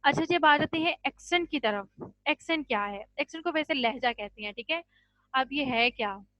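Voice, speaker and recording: A woman teaching an English grammar class online, explaining slowly and checking in with "ठीक है" after each point. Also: high voice, lively intonation, sharp tone, no room sound. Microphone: computer mic on a video call. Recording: dull, dry video-call audio.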